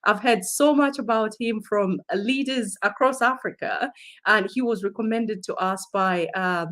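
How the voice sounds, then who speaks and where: A woman hosting a talk show, introducing her guest and asking friendly, measured questions with frequent fillers. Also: a little high, fairly bright tone. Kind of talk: interviewing a guest.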